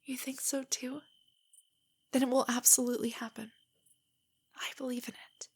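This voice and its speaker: A young woman playing a nerdy, nervous, tender girlfriend in an intimate stargazing roleplay audio, speaking softly and often near a whisper as she gently rambles. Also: high voice, level tone, moderate pace, breathy edge. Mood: nervous, hopeful. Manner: rambling, gently explaining, hesitant. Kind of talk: intimate roleplay audio, scripted to sound spontaneous.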